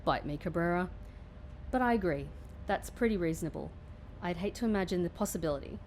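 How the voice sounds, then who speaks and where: A woman's voice: on the low side, expressive, fairly quick, a little mellow, slight background noise, lightly acted. A woman playing a wry, stubborn agent recovering from injury in an audio drama, with a dry, defiant manner. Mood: teasing.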